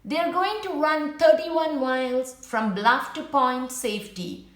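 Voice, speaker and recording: A woman with a slightly high voice reads a children's story aloud. She goes very slowly and deliberately, keeping it simple for young listeners. The room around her is noticeably echoey. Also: fairly bright tone. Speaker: children's book read-aloud narrator. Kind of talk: storybook read-aloud with brief asides to viewers.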